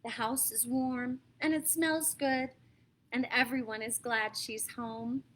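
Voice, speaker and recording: An American woman reading picture books aloud to children in an expressive, dramatic way, stepping aside to talk to her listeners. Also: a little high, takes it slowly, slight background noise. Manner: expressive, dramatic emphasis, asks listeners questions. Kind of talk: animated read-aloud storytelling for young children, with asides.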